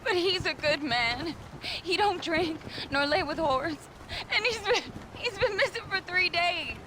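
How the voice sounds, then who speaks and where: An actress playing a distraught, crying, poor American frontier wife pleading in a western video game. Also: female voice, very high voice, animated, measured pace. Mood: tearful, desperate. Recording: noisy ambience behind.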